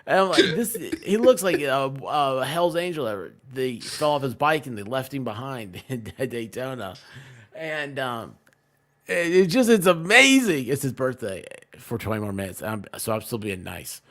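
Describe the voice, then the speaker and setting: A man with a very animated, swinging delivery rambles casually through jokey anecdotes. He talks like a live stream co-host among friends, often acting out bits of conversation as he goes. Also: mid-range voice, moderate pace. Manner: jokey, tells stories by quoting people in exchanges.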